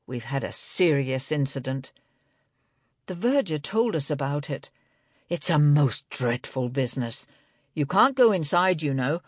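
A woman's voice: low voice, expressive, measured pace, no room sound, clean studio sound. A woman narrator voicing a fussy, gloomy male librarian who grumbles and exclaims, in an audiobook. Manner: grumpy, exclamatory, muttering.

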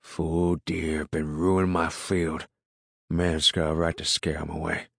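A man voicing a gruff, ill-tempered rural farmer in an audiobook, grumbling in folksy, ungrammatical country speech. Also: extremely low pitch, level tone, unhurried, no echo, clean studio sound, exaggerated voice. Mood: indignant. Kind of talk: audiobook character dialogue.

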